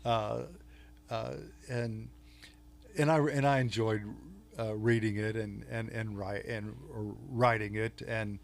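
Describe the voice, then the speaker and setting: An older man from Texas with a Texan accent, a guest on an interview show, talking in a halting way with many fillers and restarts. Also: on the low side, level tone, gravelly. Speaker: podcast guest, author. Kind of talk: casual interview talk.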